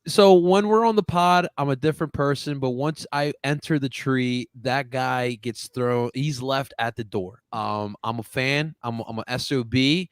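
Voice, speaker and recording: A man's voice: medium pitch, unhurried, few pauses, smooth, no echo. A man hosting a sports podcast over a video call, chatting casually and hyping up the fans. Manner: excitable, hypes up fans. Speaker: podcast host.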